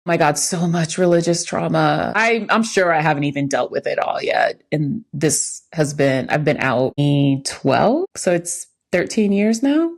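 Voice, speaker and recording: An American woman with a low-pitched voice, chatting as a podcast co-host. She speaks candidly and reflectively, in an informal conversational style. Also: expressive, a little slow, hardly any pauses. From United States, lived in New York when young. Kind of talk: casual chat.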